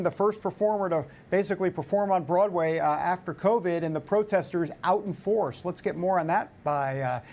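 A man's voice: high voice, a little mellow. A middle-aged man from New York hosting a talk radio show, rambling and joking with plenty of filler. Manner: jokey, rambling, lots of filler uhs.

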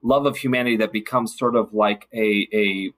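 A man's voice: fairly low pitch, fairly even intonation, normal speed, a bit bright. A man speaking as a podcast guest in a casual, reflective conversation, earnest and thoughtful.

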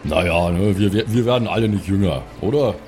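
A man playing a tabletop role-playing game, improvising in casual, halting, informal chat. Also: low-pitched, darkish tone, faint music behind.

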